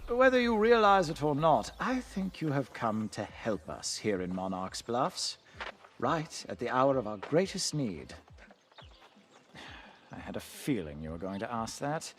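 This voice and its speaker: An actor playing a courtly, welcoming fantasy town leader in a video game, speaking formally and graciously. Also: male voice, mid-range voice, very animated, measured pace, a little hiss or hum. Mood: gracious, earnest, then candid, dignified.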